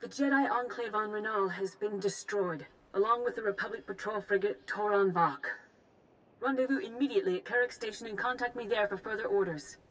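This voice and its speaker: An authoritative Jedi grandmaster voiced by a woman in a science-fantasy game. She speaks in a middle pitch, urgent and formal, as if issuing emergency orders. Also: brisk, fairly bright tone, natural voice, rough recording.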